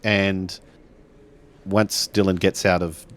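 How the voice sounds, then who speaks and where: Male, very low pitch, loose rambling table talk from a roleplay gamer. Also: level tone, slow. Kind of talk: casual tabletop roleplay banter.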